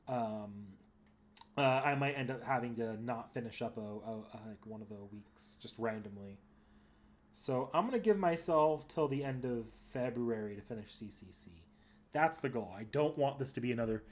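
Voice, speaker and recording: A man streaming games, chatting casually and unscripted, hesitant and often correcting himself as he thinks aloud. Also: medium pitch. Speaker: live game streamer.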